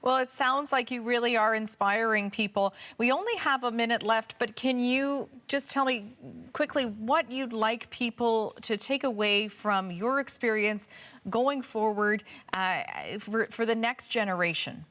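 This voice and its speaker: A woman news anchor with a Canadian accent, asking questions in a polished, warm way during a TV interview. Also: a little high, unhurried. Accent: Canadian English.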